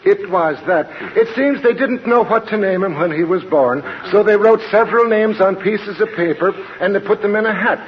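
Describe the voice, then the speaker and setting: Man playing a high-voiced stage-Irish uncle, old radio. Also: moderate pace, hardly any pauses, lightly acted. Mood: cheerful, storytelling. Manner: theatrical stage-Irish brogue, flowery sweet talk. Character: jolly, blarney-spouting Irish uncle, cheeky and confused. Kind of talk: 1940s radio comedy acting. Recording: muffled, noisy 1940s radio recording, studio audience.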